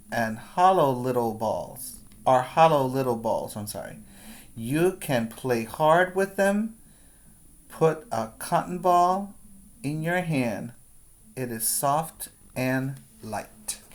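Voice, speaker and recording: A man teaching preschoolers, reading a picture book aloud with deliberate, instructional phrasing and short explanatory asides. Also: mid-range voice. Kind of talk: read-aloud for young children with explanatory asides.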